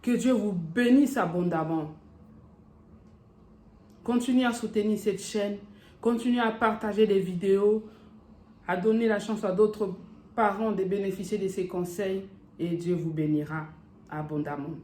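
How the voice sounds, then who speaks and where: Woman, emphatic advice vlogger, preachy, medium pitch, reverberant room. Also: unhurried, pauses often, dark tone. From Africa. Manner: emphatic and preachy, checks in with "d'accord?"; ends with blessings in a sermon-like cadence. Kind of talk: advice monologue to camera.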